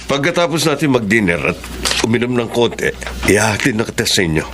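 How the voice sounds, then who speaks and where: A man's voice: medium pitch, big pitch swings, fast, close to an everyday voice. A man playing a scheming, coaxing boss. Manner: smooth, coaxing, then sinister. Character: scheming older boss who drugs a young bride-to-be. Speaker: radio drama actor. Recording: noisy old radio recording.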